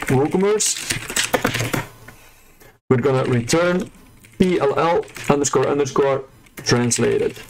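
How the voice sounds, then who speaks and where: A man's voice: medium pitch, slight background noise, poor audio. Male tutorial host, casual unscripted explainer, fillers and restarts, mid-range voice, typing behind. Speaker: web developer tutor. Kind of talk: screen-recorded coding tutorial.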